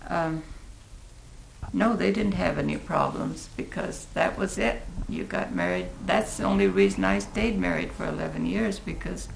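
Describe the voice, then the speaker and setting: An elderly American woman in her eighties recalling her life in a reflective interview, halting and thoughtful, with a gravelly, creaky voice. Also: fairly low pitch, big pitch swings, a little hiss or hum, rough recording. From Oregon, United States. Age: 80s. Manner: halting, deliberate, thoughtful pauses. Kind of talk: reflective oral history interview.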